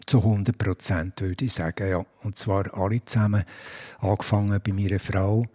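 A middle-aged Swiss German man speaks in a slightly low, mellow voice. He is a stadium announcer answering questions casually. He is chatty and pauses often with fillers. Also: fairly even intonation.

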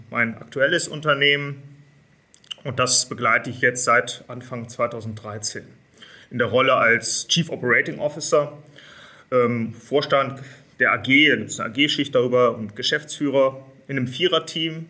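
A man of about fifty with a bright, forward voice at an average male pitch. He speaks as an executive guest in an interview, answering in a conversational way.

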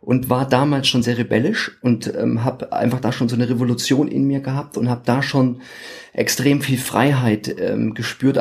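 A man in his forties speaks with a Thuringian German accent. His voice is gravelly, pitched in the middle, and he talks in a casual, halting way with lots of ums and restarts. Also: a little mellow. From eastern Germany. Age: 40s. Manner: hesitant, many ums and restarts. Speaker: podcast guest, actor. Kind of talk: casual interview chat.

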